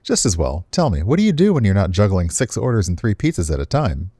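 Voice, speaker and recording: A man plays a frank, easygoing guy on a restaurant staff in a visual novel. His voice is low and mellow. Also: sing-song, fast, husky, no room sound, clean studio sound. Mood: friendly.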